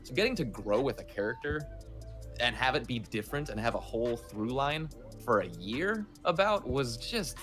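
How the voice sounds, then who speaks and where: A man, a voice actor, talking casually and emphatically as an interview guest, telling stories in an animated way. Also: medium pitch, normal speed. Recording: music playing behind the voice.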